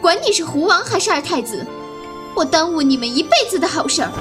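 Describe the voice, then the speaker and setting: A woman acting a haughty, sharp-tongued, impatient young bride in an audio drama. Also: extremely high pitch, lively intonation, quick pace, over music. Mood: indignant, haughty.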